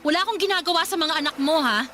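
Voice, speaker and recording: A woman's voice: extremely high pitch, racing, noisy background. An actress playing an indignant, exasperated young Filipino woman in a radio drama, confrontational and sarcastic with her partner. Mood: angry, sarcastic.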